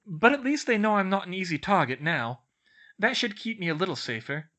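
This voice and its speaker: Seeking a man to play a smug, clever schoolgirl in a storybook, high and lilting, explaining things matter-of-factly. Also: expressive, bright, forceful tone. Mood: defensive, resigned. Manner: brisk, explanatory, matter-of-fact. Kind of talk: audiobook character voice.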